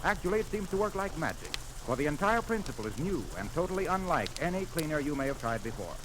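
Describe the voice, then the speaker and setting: A man's voice: a little high, sing-song, fast. A man announcing on 1930s radio, reading scripted copy in a polished, persuasive salesman's delivery. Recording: noisy vintage 1930s radio recording.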